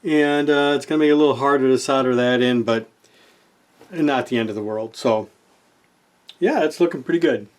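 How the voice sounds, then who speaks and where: A man talks through hands-on repair work in an informal, unscripted way at a middling pitch. He thinks aloud as he goes, with halting phrases that trail off or get corrected, and keeps his wording laid-back. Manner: halting, trailing off and self-correcting mid-thought. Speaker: host of a repair channel, hobbyist radio restorer. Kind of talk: casual unscripted workshop narration, thinking aloud while working.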